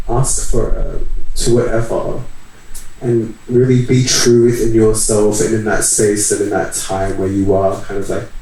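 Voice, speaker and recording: A man's voice: fairly low pitch, creaky, warm tone. A man giving casual, informal advice at a talk, swearing freely. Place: echoey event venue. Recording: very echoey room, distant mic.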